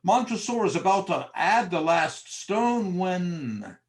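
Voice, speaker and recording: A male narrator reads scripted gothic horror with dramatic flair. His voice sits a little high and moves widely up and down as he describes the action. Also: lively intonation, takes it slowly.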